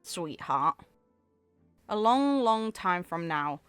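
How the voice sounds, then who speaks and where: A sweet, romantic young game heroine, read out by a woman, tender and wistful like a storybook. The voice is smooth and clear, dry and close, over faint music. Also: lowish voice, very animated, slow pace, fairly bright tone, no room sound, heavily acted.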